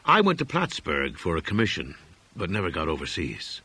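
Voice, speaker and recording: Husky low-voiced man narrating, widely swooping intonation. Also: huge pitch swings, normal speed, bright, forceful tone. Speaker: audiobook reader. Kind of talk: scripted literary narration of a horror story.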